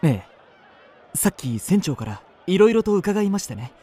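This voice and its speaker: A man playing a suave, well-bred young gentleman traveller in a radio drama, measured, polished and courteous. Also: high-pitched, sing-song, breathy edge, full character voice. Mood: gallant, confident. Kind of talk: radio drama narration and dialogue.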